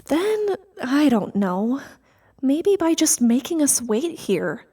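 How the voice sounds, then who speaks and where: Uneasy female crew member actress, sci-fi radio play; high pitch, slow delivery, musing and insistent. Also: sing-song, dark tone, full character voice. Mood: worried, speculating. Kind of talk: sci-fi audio drama acting.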